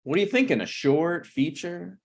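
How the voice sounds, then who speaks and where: A man playing a brazen, scheming slacker screenwriter in a table read of a TV pilot, blunt and presumptuous with halting phrasing. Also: fairly high pitch, gravelly. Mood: blunt, self-assured.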